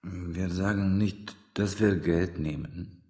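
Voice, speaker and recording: Radio play role: gruff official, bass register, deliberate slow delivery, few blunt words, quiet menace. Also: male voice, fairly even intonation, roomy sound, very noisy. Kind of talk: radio drama acting.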